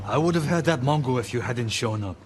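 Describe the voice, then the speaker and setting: A male actor voices a hot-headed warrior who leads his own men in a cinematic video game. He is proud and emphatic, with very animated pitch at a medium height. A score plays behind him. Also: brisk, over loud music, close to an everyday voice. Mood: boastful. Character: proud, hot-tempered male band leader, stubborn warrior. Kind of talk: video game cutscene acting.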